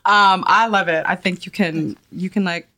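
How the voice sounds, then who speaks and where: Podcast host woman, lower voice, lively and gushing, dry recording. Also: no room sound. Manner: animated, effusive, quick to laugh. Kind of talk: casual interview conversation.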